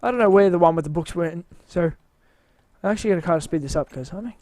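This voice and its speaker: Tired man in a dry booth, high voice, hesitant rambling tour of his build, pokes fun at himself. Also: fast, mellow, no room sound. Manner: rambling, hesitant, self-mocking. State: tired, voice wearing out. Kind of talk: casual rambling walkthrough commentary.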